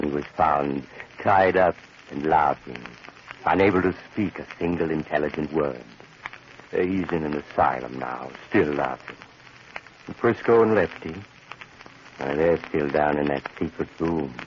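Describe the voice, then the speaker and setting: An actor playing a sinister, sardonic doctor who narrates a 1940s radio horror tale in a wry, ghoulish, conversational manner. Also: male voice, rumbling low. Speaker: host and narrator. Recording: dull, hissy 1940s radio recording.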